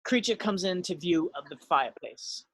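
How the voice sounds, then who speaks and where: A man reads scene headings and stage directions as narrator of an amateur table read. His voice is a little high, and the sound is dry with no room echo. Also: lively intonation, normal speed, a bit bright.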